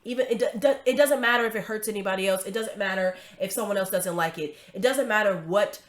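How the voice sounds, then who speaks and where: A woman's voice: medium pitch, quick pace. A woman hosting a commentary video, talking casually with a stuffy nose from a cold, emphatic and chatty with frequent tag questions.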